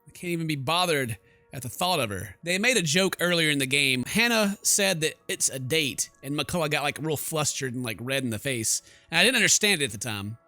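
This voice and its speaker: Male gaming host, bright forceful voice, playful commentary, dry close sound, faint music behind. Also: fairly high pitch, expressive, brisk, no room sound. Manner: joking, playful asides. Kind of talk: playthrough commentary, reading on-screen text aloud. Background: game music.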